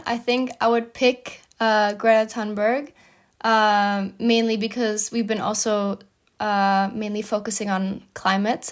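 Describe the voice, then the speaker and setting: Young woman speaking in an even tone. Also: mid-range voice. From Germany. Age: 20s. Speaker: student guest. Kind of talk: interview answers.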